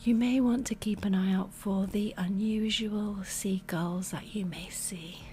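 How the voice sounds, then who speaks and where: Female ASMR flight attendant: hushed, whispery, gentle and reassuring, very unhurried delivery, faint background noise. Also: mid-range voice, steady tone. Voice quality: soft, breathy whispering and hushed speech. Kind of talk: ASMR roleplay, personal attention.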